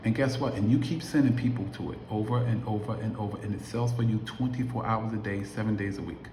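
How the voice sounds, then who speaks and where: A man's voice: lowish voice, fairly even intonation, fast, noisy background. A man in his late fifties giving an emphatic motivational talk to camera, asking his viewers many rhetorical questions. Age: 50s. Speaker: affiliate marketer vlogger. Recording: echoey room.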